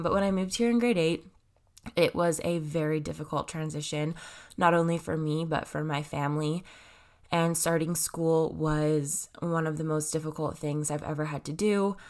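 A woman in her twenties with a stuffy, congested nose, talking casually and rambling with lots of fillers and self-corrections. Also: deep voice. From Canada. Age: 20s. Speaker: vlogger. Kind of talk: casual personal talk to camera.

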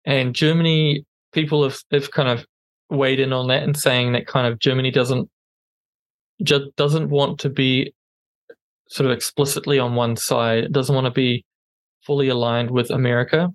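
A man's voice: medium pitch, level tone, moderate pace, no room sound, poor audio. A man co-hosting a podcast in casual news chat, with a non-native English accent, talking hesitantly with many ums and restarts. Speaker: podcast co-host.

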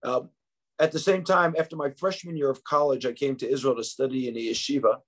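A man's voice: mid-range voice, steady tone, brisk. A man in his fifties from New York, with a New York accent, a podcast guest telling his life story in a reflective, conversational way with frequent restarts. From United States.

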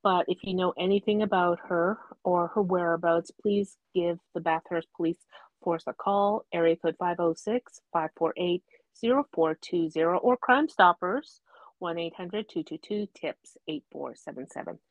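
A woman's voice: fairly low pitch, normal speed, no room sound, dull audio. A woman co-hosting a podcast in casual chat, hesitant, with many ums and trailing sentences. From Canada. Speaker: podcast co-host.

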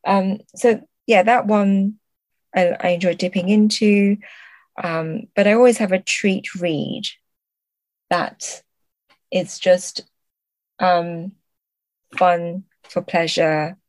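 A woman's voice: fairly low pitch, steady tone, slow, pauses often, a little mellow, dry recording. A woman speaking as a literary translator in an interview, reflective and hesitant as she searches for words.

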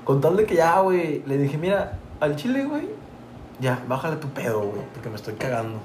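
A man's voice: fairly high pitch, sing-song, brisk, a little mellow. Slangy young Mexican man, echoey room. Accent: Mexican Spanish, from Tampico. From Tampico, Mexico. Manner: slangy, profane, says "güey" constantly. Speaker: podcast co-host. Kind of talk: casual podcast chat. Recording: echoey room with clear background noise.

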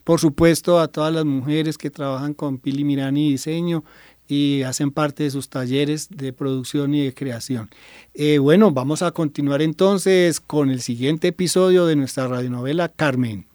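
Male host, Colombian accent, fairly high voice, conversational interviewing, dry close sound. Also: moderate pace, a little mellow, no room sound. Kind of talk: unscripted radio interview hosting.

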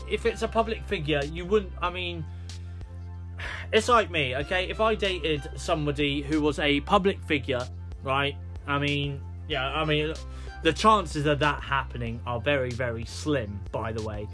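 A man's voice: fairly high pitch, sharp tone. A British man hosting a solo podcast, rambling with many fillers and restarts. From United Kingdom. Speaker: podcast host.

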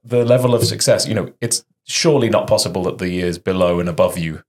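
A man's voice: sits low, fast, a little mellow. A man hosting a casual interview, musing aloud in long, rambling thoughts. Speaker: podcast host.

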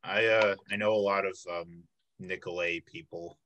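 A young male host from Illinois runs a casual interview and keeps it friendly and chatty, full of "like" and "um". His voice sits a bit low but sounds very bright and forward. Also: fairly even intonation, moderate pace. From Illinois, United States. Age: 20s. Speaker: podcast host.

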